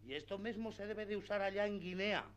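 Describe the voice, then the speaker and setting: A simple-minded, credulous peasant squire from a classic radio drama. His voice sits a little high for a man, and he rambles on in earnest, pleading eagerness. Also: a little fast, fairly bright tone, clean tone. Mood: convinced, naive. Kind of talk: radio theatre acting of a classic novel.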